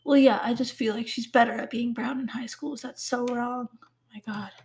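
A woman's voice: fairly high pitch, steady tone, normal speed, a little mellow. A teenage girl of Pakistani heritage hosting her own podcast, rambling and teasing herself with lots of "like" and "um". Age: teens. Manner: rambling, self-teasing, full of "like" and "um". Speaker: podcast host. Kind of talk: casual solo podcast chat.

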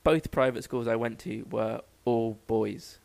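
A man's voice: mid-range voice, unhurried, creaky, darkish tone. A young man in his twenties with a London accent, chatting casually as a podcast co-host, joking and cutting himself off mid-sentence. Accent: London English. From England. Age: 20s. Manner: rambling, joking, self-interrupting. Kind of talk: casual chat.

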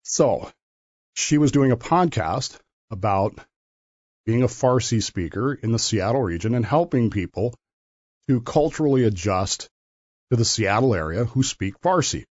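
A man's voice: medium pitch, lively intonation, a little slow, a little mellow, dry recording. A man hosting a solo talk podcast, telling a story in an opinionated, conversational way and often correcting himself mid-sentence. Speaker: podcast host. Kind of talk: solo commentary monologue. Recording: dull lo-fi dry recording.

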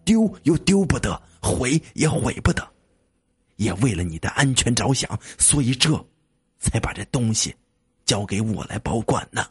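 A man voicing a weary, gentle old village man in a spoken story, sighing and pleading anxiously as he guards a secret. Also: mid-range voice, sing-song, moderate pace, slightly rough. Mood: weary, resigned.

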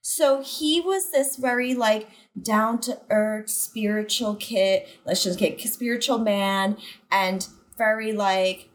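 A woman chatting casually about acting, telling a story in an animated way and quickly backing up her co-host. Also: mid-range voice. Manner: animated, quick agreeing interjections.

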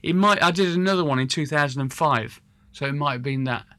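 A man interviewing a guest in a relaxed, rambling way, full of hesitations and restarts. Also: fairly high pitch, brisk, dry recording. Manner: rambling, hesitant, self-correcting. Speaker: interviewer and musician. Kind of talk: casual conversational interview.

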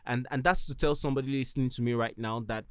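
A man's voice: medium pitch, steady tone, fast talker, clear, resonant tone, dry recording, studio quality. A man with a Nigerian accent, hosting a solo podcast and giving earnest, emphatic advice. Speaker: podcast host.

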